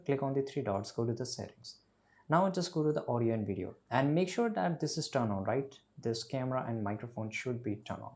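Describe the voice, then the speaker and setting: Man narrating a casual software tutorial. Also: mid-range voice. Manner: instructional, often tags sentences with "right?". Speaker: tutorial presenter.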